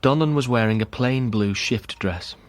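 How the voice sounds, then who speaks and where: An actor playing a sober man reading out a grim account in a measured, matter-of-fact way in a radio drama. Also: mid-range voice, lightly acted. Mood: solemn.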